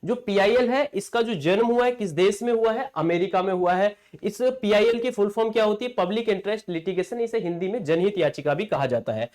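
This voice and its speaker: A man's voice: sits high, a little fast, few pauses, a little mellow. Male coaching teacher for exam lectures; explanatory, repetitive delivery built on self-answered questions. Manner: asks rhetorical questions and answers them himself.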